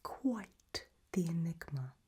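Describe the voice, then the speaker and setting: A woman playing an elegant, macabre gothic matriarch hosting in a soft, breathy ASMR whisper, genteel and darkly droll. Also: on the low side, animated, slow, exaggerated voice. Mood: fond, wry.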